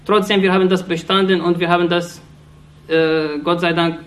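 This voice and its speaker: A man from Iraq gives an impassioned political speech in halting German, restarting phrases often and sounding earnest and accusatory. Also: high voice, flat delivery. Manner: halting non-native German, frequent restarts and fillers. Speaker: speaker addressing an audience.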